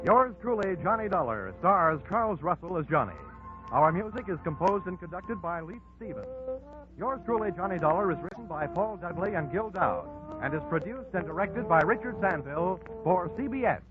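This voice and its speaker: A male announcer with a fairly high, animated voice. He delivers scripted copy in 1940s radio style, on a tinny recording with faint noise. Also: normal speed, music in the background.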